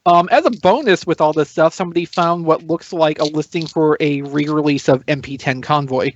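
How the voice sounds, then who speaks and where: A man's voice: fairly high pitch, brisk, lo-fi sound. A man chatting casually as a podcast panelist, explaining and thinking aloud as he goes. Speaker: toy news podcast panelist.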